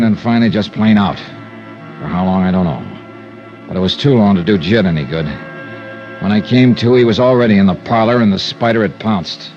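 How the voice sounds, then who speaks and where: An actor playing a cool, wry hard-boiled American investigator, dry and laconic, in a 1950s radio detective drama. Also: male voice, on the low side, a little mellow, natural voice. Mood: rueful, wry. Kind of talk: 1950s radio detective acting and first-person narration. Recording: dull, noisy old radio recording.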